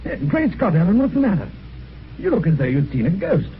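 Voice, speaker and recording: An actor playing a jovial, teasing British police inspector in a radio mystery, breezy and chatty. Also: male voice, high voice, huge pitch swings, a little fast, over loud music, exaggerated voice. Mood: incredulous. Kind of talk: 1950s radio mystery acting. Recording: dull, noisy old radio recording.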